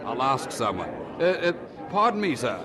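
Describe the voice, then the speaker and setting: An actor playing a hearty, blustering older brother in an 18th-century audio drama, with a husky voice and a condescending manner. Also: male voice, medium pitch, big pitch swings, normal speed, crowd noise, natural voice. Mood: jovial, eager. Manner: chuckling, hearty, condescending, stammers when evasive.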